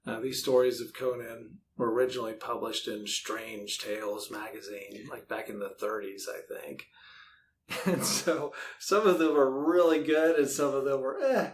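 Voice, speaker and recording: A man's voice: mid-range voice, normal speed. A man talking casually in a podcast interview, rambling a little as he thinks aloud. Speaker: podcast host and author being interviewed. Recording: faint background noise.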